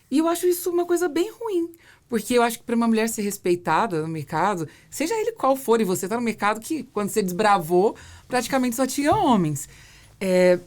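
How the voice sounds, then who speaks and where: A woman's voice: mid-range voice, a little mellow. A Brazilian woman hosting a conversational interview, setting out her views in an articulate way and building long, reflective questions. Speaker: podcast host, interviewer.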